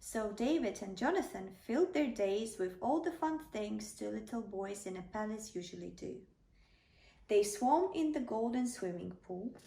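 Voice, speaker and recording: A woman's voice: fairly high pitch, gravelly, mellow. A woman narrating a children's bedtime story, reading aloud as a storyteller. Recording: roomy, slightly distant microphone.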